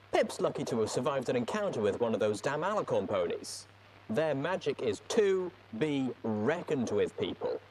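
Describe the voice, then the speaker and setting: A man's voice: mid-range voice, noisy background. Man putting on a brash wasteland radio DJ. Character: brash, foul-mouthed, chatty post-apocalyptic radio DJ. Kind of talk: radio DJ patter.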